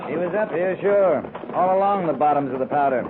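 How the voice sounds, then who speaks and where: Guy playing a seasoned american army captain in an old radio western, clipped and bossy, fairly high voice, tinny noisy sound. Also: lively intonation, brisk, natural voice. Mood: grave, measured. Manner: clipped, authoritative, terse. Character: seasoned, commanding US Army cavalry captain on patrol. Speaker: radio drama actor. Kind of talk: 1950s radio western acting.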